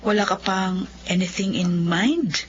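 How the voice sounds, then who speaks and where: A woman's voice: mid-range voice, close to an everyday voice. Woman acting encouraging lawyer, muffled recording. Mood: inquiring. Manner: measured, advising. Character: kindly, encouraging lawyer benefactor. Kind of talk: Filipino radio drama acting. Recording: muffled, noisy radio drama.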